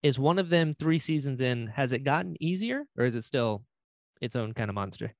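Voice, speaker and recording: A man's voice: medium pitch, expressive, fairly quick, dry recording, studio quality. A man interviewing a guest in a casual, joking, self-deprecating way. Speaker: podcast host, interviewer.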